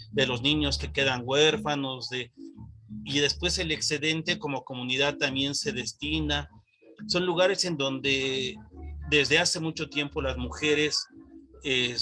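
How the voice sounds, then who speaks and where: A man's voice: mid-range voice, sharp tone. A man from Oaxaca with a Mexican accent, speaking reflectively and off the cuff as a panelist, searching for his words. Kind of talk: reflective spontaneous talk.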